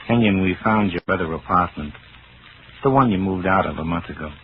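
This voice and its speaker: Casting: male actor as steady radio-drama inspector, lowish voice, probing and deliberate, on a tinny vintage recording. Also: slight background noise, lightly acted. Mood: grave. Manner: calming, deliberate questioning. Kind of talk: 1940s radio thriller acting.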